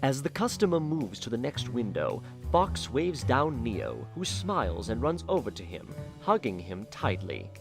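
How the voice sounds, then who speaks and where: A man narrates a fan audio drama with an animated, rising-and-falling pitch. Music plays clearly beneath him, with instruments. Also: unhurried, few pauses. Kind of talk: story narration.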